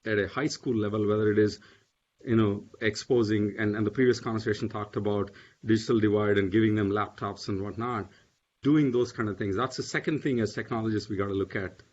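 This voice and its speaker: A man's voice: lowish voice, fairly even intonation, brisk, a little mellow. A man speaking on a panel, hesitant, with many fillers and restarts. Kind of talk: panel discussion. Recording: dull lo-fi audio.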